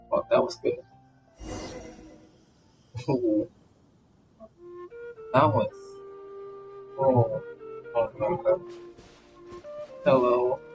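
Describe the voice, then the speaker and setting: A man doing casual live gameplay commentary, excitable and repeating short phrases as he reacts. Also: mid-range voice, dull audio. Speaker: streamer reacting to a game. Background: game music.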